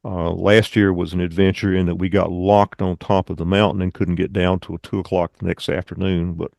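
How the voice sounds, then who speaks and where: A man's voice: low-pitched, flat delivery, fairly quick, gravelly, darkish tone, dry recording. A man giving an informal club presentation over a video call, with rambling, folksy asides.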